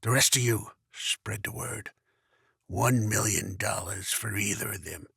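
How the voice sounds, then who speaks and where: A man playing a cold, commanding aging mob boss who gives curt orders with quiet menace, in a husky, gravelly voice. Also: lowish voice, a little slow, no room sound, clean studio sound, heavily acted. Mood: menacing. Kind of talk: motion comic voice acting.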